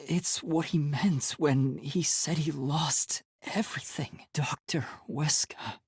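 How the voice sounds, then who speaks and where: A man voicing an earnest, timid young male detective in a video game, soft-spoken and hesitant, with a breathy, youthful voice. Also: fairly high pitch, takes it slowly, no echo, studio quality, full character voice. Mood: subdued, somber. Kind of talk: English video game voice acting.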